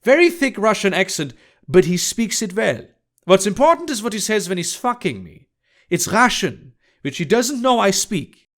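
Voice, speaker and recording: Brash audiobook sex worker, female male reader, higher-pitched, curt, irritated and dismissive. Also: sing-song, normal speed. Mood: blunt, matter-of-fact. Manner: blunt, dismissive. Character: brash, irritated adult woman sex worker, blunt and scornful. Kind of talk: audiobook character dialogue.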